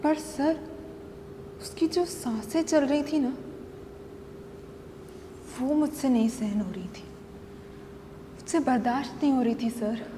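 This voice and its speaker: A woman acting a dramatic monologue as a battered, unhinged wife who confesses to killing, pleading and halting, repeating her phrases. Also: high-pitched, lively intonation. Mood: eerily hushed. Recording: long echoing hall reverb, faint noise.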